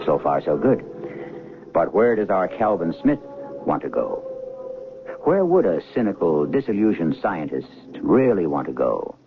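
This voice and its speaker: Music plays clearly behind a man narrating as host of an old radio drama, heard through a thin broadcast recording. His delivery is wry and theatrical, swooping widely between rhetorical questions and dramatic pauses. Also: low voice, very animated, narrow, tinny audio.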